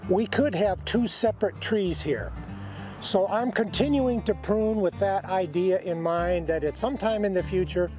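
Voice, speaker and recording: Male American educator, notably high voice, folksy plain-spoken how-to delivery, music underneath. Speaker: extension educator, presenter. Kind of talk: instructional demonstration.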